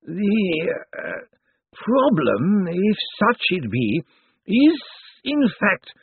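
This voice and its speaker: Mister Slant, an ancient undead lawyer, put on by a male narrator, speaks in slow, pedantic, deliberate phrases. His husky tone sounds thin and boxy, as if over a phone, with no room around it. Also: high-pitched, huge pitch swings, no echo, phone-quality sound, pitched above the performer's own voice, exaggerated voice. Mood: dry, deliberate. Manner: slow, deliberate, drawn-out pauses.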